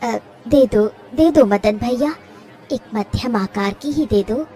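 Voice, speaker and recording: Woman as a gentle, tired, slow-speaking wife. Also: a little high. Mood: kindly. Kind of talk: Hindi cartoon story dialogue.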